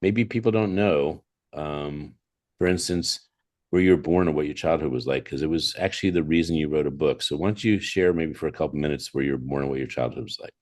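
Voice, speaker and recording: A man's voice: very deep voice, brisk, darkish tone. A man hosting a conversational interview show, effusive and repeating phrases for emphasis. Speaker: talk show host.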